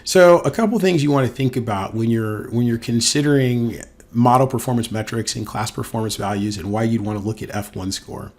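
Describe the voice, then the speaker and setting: A man with a voice hoarse from a cold, teaching a casual screen-recorded data tutorial as a university instructor, thinking aloud with fillers. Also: mid-range voice, brisk, darkish tone.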